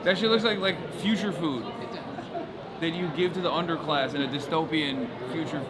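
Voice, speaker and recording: A man's voice: a little high, moderate pace. A male streamer host chatting casually and jokingly at an outdoor protest, with loose slang. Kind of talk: casual street chat. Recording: loud crowd noise behind. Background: loud crowd.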